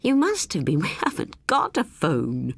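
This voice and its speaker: A woman's voice: deep voice, wildly swooping pitch, measured pace, vocal fry, husky, dry recording, exaggerated voice. A woman voicing a patient, reassuring female agent and close companion in an audiobook.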